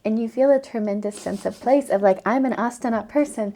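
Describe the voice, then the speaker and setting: A middle-aged American woman talks as a podcast guest, thoughtful and openly enthusiastic, often breaking into laughter. Her voice has a gravelly fry running through it and a warm, mellow color. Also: a little high, quick pace. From United States. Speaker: anthropologist guest. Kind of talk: podcast interview answers.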